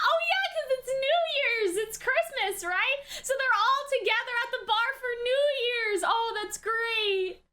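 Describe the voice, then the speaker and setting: A woman's voice: very high voice, pushed and bright. A woman giving a casual live reaction commentary, exclamatory and slangy, thinking aloud as she goes. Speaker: reaction video host.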